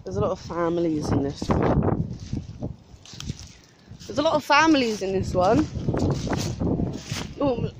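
A young woman vlogging as she walks through a churchyard, chatting softly and thoughtfully, filmed on a handheld camera at arm's length. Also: mid-range voice, very animated, unhurried, long pauses. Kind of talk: casual chat. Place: outdoor churchyard, windy. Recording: loud wind and outdoor noise.

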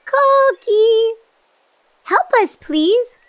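Casting: a very high-pitched, cheerful little girl for a preschool cartoon, animated, helpful, encouraging. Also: slight background noise, heavily acted. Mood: cheerful, excited. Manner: slow, simple, encouraging, pausing after key words. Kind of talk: children's cartoon dialogue addressed to young viewers.

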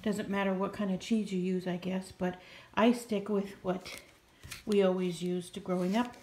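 A woman's voice: medium pitch, steady tone, unhurried, dark tone, slight background noise. A middle-aged woman chatting casually as she films herself cooking a family dinner in her home kitchen, in a homey, talkative way. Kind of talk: casual cooking vlog narration. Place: home kitchen and living room. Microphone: phone camera held in hand.

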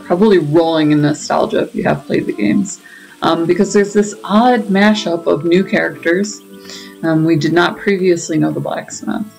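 Low, creaky-voiced woman gamer. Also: normal speed, a little mellow, low-quality recording. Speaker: gaming video host. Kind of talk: casual gameplay commentary. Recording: faint game music behind. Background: game music and dialogue.

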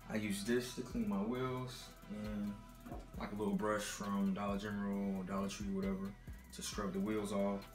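A man's voice: lowish voice, roomy sound. Brief: young man rambling about car products. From Texas. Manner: rambling, filler-heavy, laid-back. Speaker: vlogger reviewing car care products. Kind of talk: casual product rundown. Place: dim apartment living room, indoors. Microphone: laptop built-in mic.

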